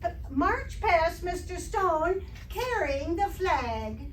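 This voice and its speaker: A woman playing a wry, sardonic high school teacher in old-time radio comedy style, with dry, deliberate wisecracks. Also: big pitch swings, heavily acted. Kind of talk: 1940s radio comedy acting, staged revival. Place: live stage performance by theater troupe. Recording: echoey hall from far off, faint noise.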